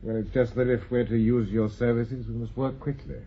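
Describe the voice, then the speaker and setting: An actor playing an authoritative senior professor with a British accent, measured and probing like a tutor, in a radio drama. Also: male voice, mid-range voice, flat delivery, husky. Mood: calm, brisk. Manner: measured, commanding, questioning like a tutor. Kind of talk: BBC radio drama acting. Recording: slightly dull radio drama recording.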